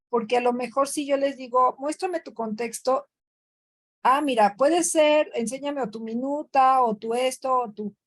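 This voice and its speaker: Instructora mexicana, voz aguda, habla coloquial con muletillas; llamada en línea. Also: female voice, high voice, a little mellow. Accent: Mexican Spanish. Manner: conversational, frequent fillers and self-corrections. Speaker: workshop instructor. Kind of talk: online training session.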